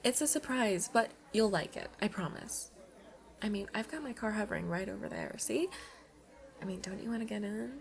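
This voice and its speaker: A woman's voice: mid-range voice, moderate pace, gravelly, lightly acted. A woman playing a playful, pleading young alien princess at college in an ASMR roleplay, chatting and coaxing the listener directly. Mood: excited, coaxing.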